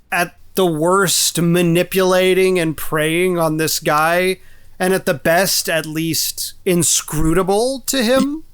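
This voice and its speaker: A man co-hosting a podcast, discussing film casually with deliberate, analytical phrasing. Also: sits high, fairly bright tone, clear, resonant tone. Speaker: podcast co-host.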